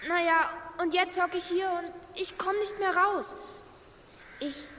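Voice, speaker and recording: An earnest little girl speaks in a very high, slightly halting voice, polite and hopeful. It is a children's audio play with long reverb and faint noise behind her. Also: steady tone, fairly bright tone.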